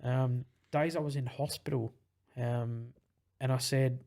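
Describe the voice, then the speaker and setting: A young man with a husky voice, a podcast guest talking in casual, rambling chat. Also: medium pitch, steady tone, a little slow, warm tone. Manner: rambling, with many fillers and restarts.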